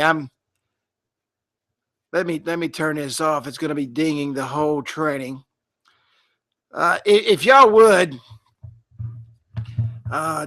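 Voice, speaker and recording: A hoarse man, talking casually and rambling with fillers while he hosts a live online training from his desk. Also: a little high, fairly bright tone. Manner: rambling, chatty, many fillers and restarts. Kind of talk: casual live webinar talk.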